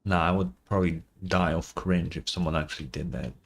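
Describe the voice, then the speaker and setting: A man's voice: deep voice, flat delivery, fairly quick. A man doing casual gaming livestream commentary in a sarcastic, mock-exasperated way, swearing freely. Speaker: streamer.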